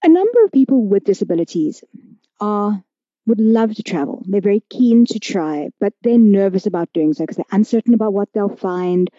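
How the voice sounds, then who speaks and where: A woman hosting a conversational podcast interview, asking questions in a measured, deliberate way. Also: medium pitch, warm tone, no room sound. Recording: dull, lo-fi audio.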